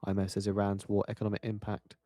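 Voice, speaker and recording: A man reading terse financial news headlines in a flat, measured way. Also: lowish voice, no room sound, clean studio sound. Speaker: market news reader.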